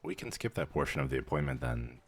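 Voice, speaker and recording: Casting: male actor as weary vampire clerk-medic for ASMR audio drama, extremely low voice, measured, dry, professional edging into casual. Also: lively intonation, a bit bright, heavily acted. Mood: casual, matter-of-fact. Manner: measured, clinical, dryly matter-of-fact, hesitant when surprised. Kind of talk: scripted ASMR roleplay.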